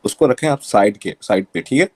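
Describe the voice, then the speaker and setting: A man from Pakistan making his point in an online debate, measured and insisting on orderly turns. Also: lowish voice, expressive, breathy edge, dry recording. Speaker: debate panelist.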